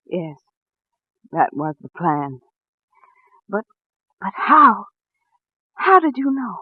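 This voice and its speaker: An actress playing a respectable small-town wife in a 1940s radio drama, speaking in a halting, anguished yet proper way. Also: female voice, medium pitch, very animated, breathy edge, no echo, full character voice. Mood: resigned. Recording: muffled vintage radio recording, phone-like band.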